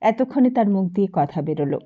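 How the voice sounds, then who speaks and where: A woman reading literary fiction as an audiobook narrator, in an expressive scripted storytelling style. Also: medium pitch, fast, phone-quality sound.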